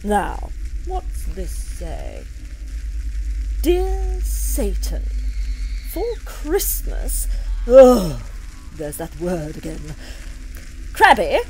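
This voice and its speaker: A pompous ruler of hell, holds forth in a comic radio play. The delivery is unhurried and theatrical, swinging widely in pitch with imperious exasperation. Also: female voice, huge pitch swings, mellow, a little hiss or hum, full character voice, rough recording. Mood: pompous, amused. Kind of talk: comic audio drama acting.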